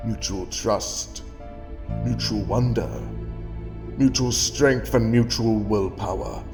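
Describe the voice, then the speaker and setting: A man acting a cold, slow-spoken stern time-traveller. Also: fairly low pitch, music in the background. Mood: solemn. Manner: scornful, measured, deliberate lecturing. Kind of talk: audio drama acting.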